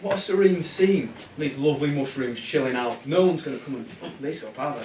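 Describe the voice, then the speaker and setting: A man's voice: fairly high pitch, a little fast, a little mellow. A man narrating a live puppet show in a comic, theatrical style, playful and exclamatory. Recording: very noisy, roomy, distant live bootleg.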